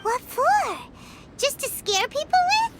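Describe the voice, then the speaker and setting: A woman voicing a tiny, excitable, childlike fairy companion in an anime-style game, squeaky and bossy, easily scared. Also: slow pace, exaggerated voice. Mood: accusing. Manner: refers to self in third person, exclamatory. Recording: loud background music.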